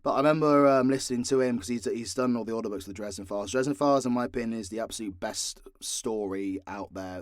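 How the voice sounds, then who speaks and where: A man's voice: fairly low pitch, dry recording. Candid British man, close dry sound. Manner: candid, sweary, self-deprecating. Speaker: voice actor and audio channel creator. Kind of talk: casual, unscripted Q&A chat.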